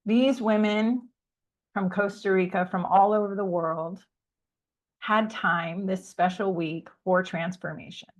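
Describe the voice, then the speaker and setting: A woman's voice: medium pitch, fairly even intonation. Woman coach guiding a breathing exercise. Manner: soothing, slow guiding instructions. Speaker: coach leading the session. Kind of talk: guided breathing and visualization. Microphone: video call.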